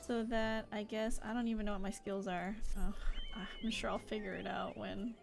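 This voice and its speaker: A woman's voice: medium pitch, little pitch movement, normal speed, gravelly. A woman streamer chatting to herself while playing a game live, self-mocking and laughing often. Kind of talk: casual live-stream commentary. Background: game music.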